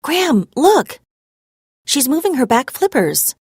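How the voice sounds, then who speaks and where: Lady reader doing an excitable storybook kid girl, eager, voice jumping up higher, very bouncy. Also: a little slow, no room sound, clean studio sound, heavily acted. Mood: amazed. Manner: eager, exclaiming and questioning. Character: eager young girl on turtle patrol, excitable.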